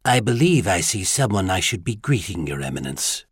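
Gracious, poised mature courtesan, played by a male reader, courteous and soft, audiobook dialogue, dry sound. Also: lowish voice, sing-song, a little slow, slightly rough, dry recording, full character voice. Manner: courteous, gracious, softly spoken. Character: gracious, charming, poised woman courtesan, no longer young.

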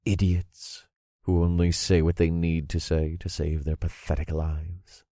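A man voicing a cruel, gloating dark lord in an audiobook, commanding, taunting and full of contempt. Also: low voice, flat delivery, a little slow, no room sound, studio quality. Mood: quiet menace.

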